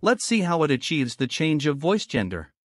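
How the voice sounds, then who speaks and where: A man reading scripted narration for a promotional software tutorial. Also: a little high, very animated, moderate pace, no room sound, studio quality.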